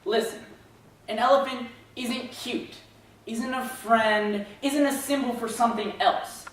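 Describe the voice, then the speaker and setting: Casting: woman playing a stern business-minded circus owner, emphatic phrasing, higher voice, echoing stage. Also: fairly even intonation, measured pace, a little hiss or hum, lightly acted. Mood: stern, insistent. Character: hard-nosed, businesslike circus boss. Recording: very echoey stage from far off.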